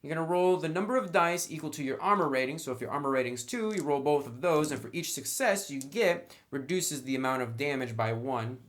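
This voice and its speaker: A man's voice: on the high side, expressive, fairly quick, few pauses, a bit bright. A man presenting a tabletop game review in a casual, conversational way, explaining things step by step straight to the viewer. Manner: conversational, instructional, addresses the viewer directly.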